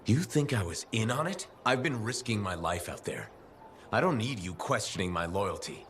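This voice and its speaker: A low-pitched, very animated male freelancer pilot from a video game. He talks casually, with a dry, loyal, easygoing streak. A little ambient noise sits behind him. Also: normal speed. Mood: defensive, dry. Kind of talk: video game dialogue acting.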